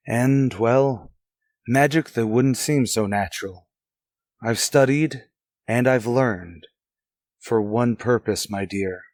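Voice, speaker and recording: A man's voice: mid-range voice, darkish tone, no room sound, close to an everyday voice. Suave secret crime fighter, played by a man: mid-range voice, resolute, polished, old-fashioned radio melodrama. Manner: genteel, earnest, theatrical. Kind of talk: old-time radio drama acting.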